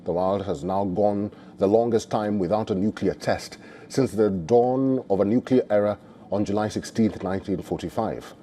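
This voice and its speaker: A man presenting scripted news narration in a formal, measured delivery. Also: lowish voice, warm tone.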